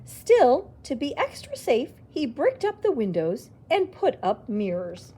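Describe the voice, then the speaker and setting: Female library storyteller, unhurried picture-book read with lots of swoops and small reacting asides. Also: sits high, breathy edge. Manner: expressive, exclaiming little reactions. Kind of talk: reading a picture book aloud to children with asides.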